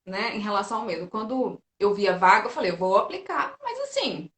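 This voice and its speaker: Mulher brasileira contando histórias, sala com eco. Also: female voice, mid-range voice, brisk, reverberant. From Brazil. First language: Portuguese. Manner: lively storyteller, animated quoting. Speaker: physiotherapist and teacher. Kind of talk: casual live-stream interview.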